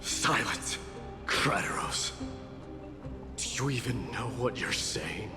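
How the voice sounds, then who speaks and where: An actor playing a fierce, brooding young warrior prince in a fantasy game, with stern, weighty delivery. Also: male voice, on the low side, expressive, slow. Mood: commanding. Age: young adult. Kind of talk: dramatic fantasy game acting. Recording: long hall reverb, music behind. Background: music.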